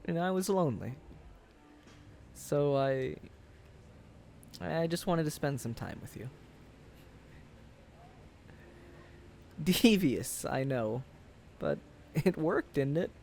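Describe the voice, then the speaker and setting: A man plays a former high school sweetheart, wistful and self-deprecating, in an intimate boyfriend ASMR roleplay. He speaks slowly and haltingly, with a creaky edge to his voice. Soft music sits faintly behind him. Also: animated, exaggerated voice. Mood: teasing, nostalgic. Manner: hesitant, halting, chuckles through confessions.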